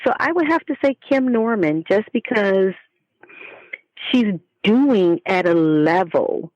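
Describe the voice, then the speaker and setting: A woman's voice: medium pitch, expressive, takes it slowly, a little mellow, no room sound, muffled recording. A woman speaking as an author guest in a conversational interview, reflective and talkative as she explains herself.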